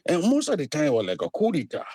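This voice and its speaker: Man, interview guest; expressive, laughs easily, voice rises and dips a lot. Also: slightly rough, dry recording. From Liberia. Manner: animated storyteller with big swings in pitch, quick to laugh. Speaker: guest, immigrant services business owner. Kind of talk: conversational interview.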